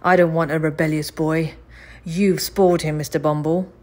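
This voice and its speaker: A callous chimney sweep man from a storybook, acted out by a woman. He talks loudly and bluntly in rough grammar, dismissing anyone who objects. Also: low voice, flat delivery, moderate pace, lightly acted. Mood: dismissive. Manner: loud, blunt, uneducated grammar.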